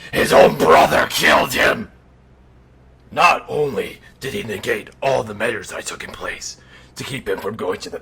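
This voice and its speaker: A man voicing an irritable, sardonic undead killer in an animatronic suit, telling a bedtime story in a deadpan, exasperated growl. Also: mid-range voice, exaggerated voice. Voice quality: gravelly, breathy growl. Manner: deadpan dark humor, exasperated. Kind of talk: in-character bedtime storytelling roleplay. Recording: noticeable background noise.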